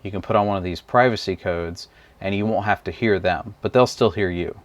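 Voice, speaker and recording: A man's voice: deep voice, brisk, dry recording, dull audio. A man casually walking through a gadget's buttons and menus, explaining each feature as he handles it. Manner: relaxed, explanatory, talks while handling the device. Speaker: product reviewer. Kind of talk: casual hands-on gadget walkthrough.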